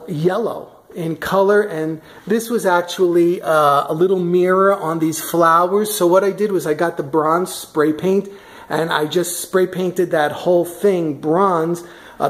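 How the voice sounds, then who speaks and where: A woman giving a casual walk-through of her house, chatty and full of fillers as she walks room to room behind a handheld camera. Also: fairly low pitch. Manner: chatty, many fillers and restarts. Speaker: vlogger giving a house tour. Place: in a large furnished house, walking room to room. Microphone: handheld camera, speaker off screen.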